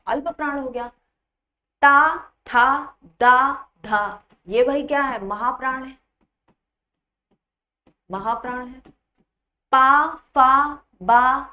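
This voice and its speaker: A woman's voice: high voice, dull audio. A woman teaching an exam-prep grammar lesson in an instructional way, asking students questions and repeating key points. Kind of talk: Hindi grammar lesson, explaining quiz questions.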